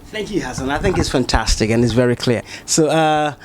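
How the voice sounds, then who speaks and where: A man's voice: mid-range voice, lively intonation, brisk. A man hosting a casual interview in a dormitory room, chatty and addressing his guests informally. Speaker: interviewer, host. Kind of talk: casual on-camera student interview.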